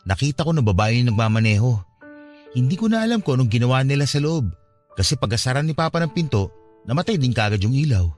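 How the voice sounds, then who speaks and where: A man's voice: mid-range voice, very animated, fast, a little mellow, faint music behind, close to an everyday voice. An actor playing a hot-headed, hurt young man in a radio drama, talking in a defensive, conversational way. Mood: confiding.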